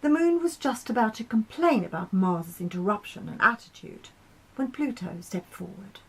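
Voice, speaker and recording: A woman narrating a short story in an expressive storytelling style. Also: fairly high pitch, normal speed, husky, poor audio. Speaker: author reading her own short story.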